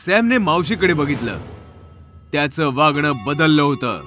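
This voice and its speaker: Guy narrating a scary story, higher voice, music playing behind him. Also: sing-song, unhurried, bright, forceful tone. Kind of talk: scripted horror story narration.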